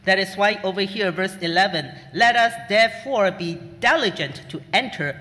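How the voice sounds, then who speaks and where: High-voiced male sermon interpreter, hall reverb. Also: moderate pace, sharp tone.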